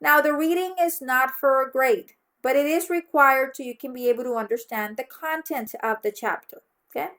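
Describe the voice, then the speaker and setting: A woman's voice: high-pitched, normal speed, fairly bright tone. A woman from Mexico, a native Spanish speaker with a Mexican accent, giving an instructive online course orientation as a college teacher and often checking in as she goes. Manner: instructive, frequently checks understanding with "okay?".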